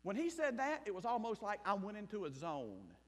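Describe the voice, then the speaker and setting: A man from South Mississippi with a Southern accent, preaching a sermon and telling his own story as a missionary pastor, shifting between loud bursts and hushed asides. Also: high voice, huge pitch swings, a little fast. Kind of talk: sermon with personal testimony. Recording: long hall reverb.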